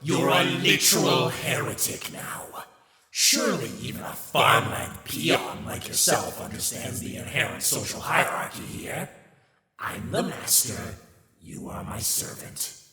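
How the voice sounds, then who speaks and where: A man voicing a haughty, scheming demon in a theatrical, condescending ASMR roleplay, with a gravelly voice and sly asides. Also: medium pitch, sing-song, unhurried, roomy sound, big-room sound, crowd noise. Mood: indignant, exasperated. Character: sardonic, scheming male demon master, haughty soulmate. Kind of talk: ASMR roleplay, dramatic monologue to listener.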